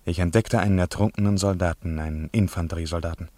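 Low, close, dry storytelling diver male actor. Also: steady tone, fast, warm tone, dry recording, lightly acted. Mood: tense, wondering. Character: diver recounting an eerie underwater descent. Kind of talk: 1950s radio play narration, literary.

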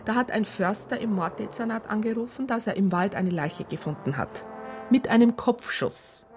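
A woman's voice: mid-range voice, normal speed, darkish tone, faint music behind, natural voice. An actress playing an indignant police psychologist who states her complaint in precise, formal words in a radio drama. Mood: reporting, accusing. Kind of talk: radio drama narration and dialogue.

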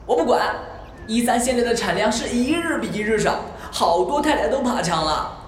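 A woman playing an excitable, theatrical young male idol in a Chinese audio drama. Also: on the high side, moderate pace, roomy sound, close to an everyday voice. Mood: whiny, demanding. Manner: theatrical, gushing, pouty.

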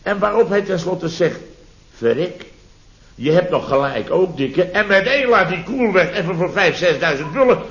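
A man's voice: high-pitched, lively intonation, moderate pace, fairly bright tone, echoey room. A man playing a blustering, sarcastic middle-aged contractor boss in a vintage radio comedy, repeating himself indignantly. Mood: sarcastic outrage. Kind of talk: 1970s Dutch radio comedy acting. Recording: dull vintage radio recording.

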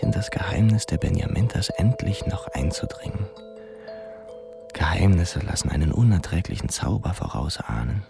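Low, creaky, dreamy young pupil. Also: male voice, exaggerated voice. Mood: dreamy, fervent. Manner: musing, halting, theatrical delivery with whispers. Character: wry, dreamy, self-mocking young male pupil. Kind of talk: literary radio drama monologue. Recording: music behind the voice.

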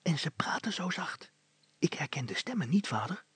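A man's voice: on the high side, very animated, normal speed, a bit bright, breathy edge, no echo, pitched above the performer's own voice, heavily acted. A man voicing a simple, nervous young son in a storybook, whispering breathily. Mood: alert, whispering.

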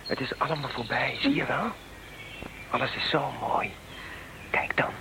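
An actor playing a tender, practical young settler on a new planet in a radio play, with an earnest, reassuring manner. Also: male voice, mid-range voice, unhurried, whispered, lightly acted. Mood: gentle, practical. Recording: radio drama with loud background noise.

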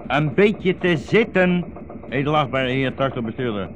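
A man's voice: medium pitch, lively intonation, moderate pace, lightly acted. Old blind rabbi, played by a man, sardonic and weary. Radio play; muffled, noisy vintage sound. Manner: ironic, rhetorical. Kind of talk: 1960s radio play acting.

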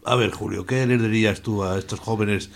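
Male, low voice, radio interviewer, informal questioning. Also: fairly even intonation, brisk, gravelly, a little hiss or hum.

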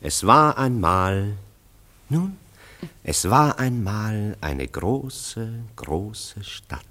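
A man's voice: on the low side, sing-song, very slow, fairly bright tone, exaggerated voice. An actor playing an urbane, teasing male confidant in a German radio play, suave and theatrical with an ironic manner. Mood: teasing, coaxing.

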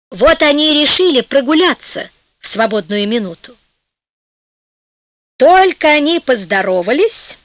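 Lively high-voiced woman storyteller. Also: no echo. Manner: theatrical, measured storytelling. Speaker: audiobook narrator. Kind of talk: expressive scripted fairy-tale narration.

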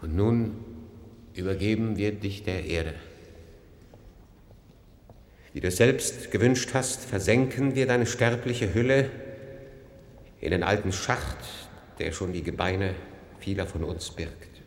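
Radio drama: formal, authoritative leader of underground survivors, low register, measured and fatherly delivery. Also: animated, a little hiss or hum. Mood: solemn. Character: authoritative male senior official of an underground survivor community. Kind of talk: German science-fiction radio drama acting.

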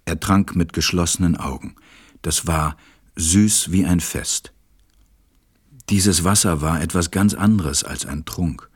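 A man acting a reflective, tender pilot who narrates a radio play in a measured, gentle way. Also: sits low, husky, heavily acted. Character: reflective adult male pilot, tender storyteller.

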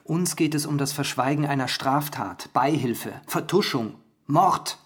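A man's voice: fairly high pitch, measured pace, a bit bright, breathy edge. A man playing a probing investigative journalist in a radio drama, matter-of-fact in delivery. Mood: insistent.

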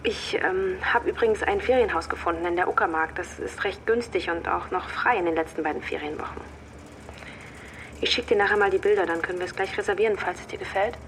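A woman's voice: on the low side, brisk, slight background noise, natural voice, rough recording. An actress playing a pensive, lonely working mother who talks hesitantly and thoughtfully in a naturalistic radio drama. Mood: lonely, weary.

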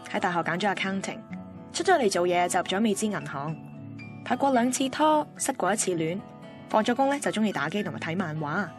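An actress playing a driven, blunt young radio assistant with a Hong Kong Cantonese accent, in a radio drama. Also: female voice, mid-range voice, close to an everyday voice. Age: young adult. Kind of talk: radio drama narration and banter.

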